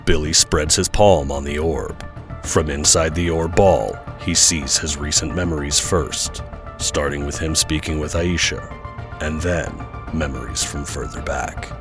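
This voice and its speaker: A man's voice: a bass voice, fairly even intonation, a little slow, over music. A man playing the solemn narrator of a superhero audio drama, reading scripted scene descriptions dramatically. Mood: solemn, dramatic.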